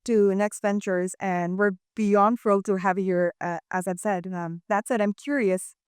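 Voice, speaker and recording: A young woman hosts a panel interview in a clean, dry studio sound. Her voice is clear and sits at a middle pitch. She phrases things haltingly as she moves between remarks and questions. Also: steady tone, no echo. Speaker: host, interviewer. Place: indoor studio, seated panel on sofas.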